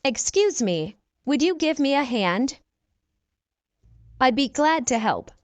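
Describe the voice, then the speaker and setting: A woman English teacher reads everyday dialogue lines for learners, slowly and clearly. Her voice sits high and swings widely in pitch. She repeats each exchange at an unhurried pace. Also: very animated, no echo. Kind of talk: reading practice dialogues for learners.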